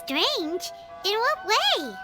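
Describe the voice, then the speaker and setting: A tiny, naive fairy sidekick from a video game. The voice is extremely high, squeaky and childlike, swinging excitedly up and down. Music plays clearly behind it. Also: female voice, extremely slow, exaggerated voice. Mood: puzzled, curious. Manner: refers to herself in the third person, excitable. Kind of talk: cartoonish video game dialogue. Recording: game dialogue over music.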